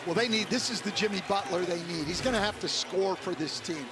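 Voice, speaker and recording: A man's voice: high voice, a little fast. A man giving live analysis as a color commentator on a basketball game. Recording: loud arena crowd behind the voice.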